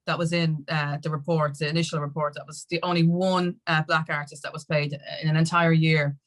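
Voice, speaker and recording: An Irish woman talking as an interview guest in a rambling, halting, conversational way. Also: sits low, flat delivery. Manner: rambling, halting, with many "you know"s. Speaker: podcast guest, activist.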